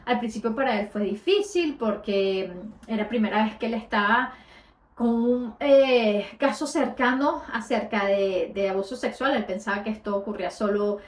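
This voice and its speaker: Host latinoamericana, voz más bien aguda, narración personal con titubeos. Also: female voice, a little high, normal speed, echoey room. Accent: Latin American Spanish. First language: Spanish. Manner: conversational, with frequent hesitations. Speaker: podcast host. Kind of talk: personal storytelling.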